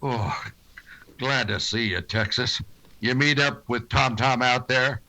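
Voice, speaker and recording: A man playing a stern, wry frontier marshal in a western radio drama, steady and unyielding. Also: medium pitch, takes it slowly. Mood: dry, watchful.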